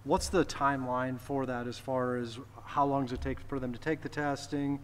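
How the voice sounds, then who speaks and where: A man's voice: medium pitch, steady tone, moderate pace. A man on a school board asking friendly follow-up questions, with stammering restarts. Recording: echoey hall with clear noise.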